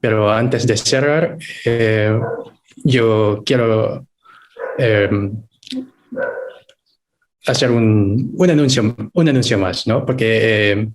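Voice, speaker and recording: A man's voice: lowish voice, extremely slow, long pauses, slight background noise, poor audio. A man moderating a webinar for an embassy, politely and formally reading comments and giving closing remarks with frequent hesitation.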